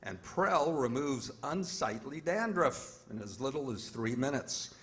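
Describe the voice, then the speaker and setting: A man's voice: mid-range voice, sing-song, a little slow, a bit bright. A man announcing in a scripted 1940s radio style.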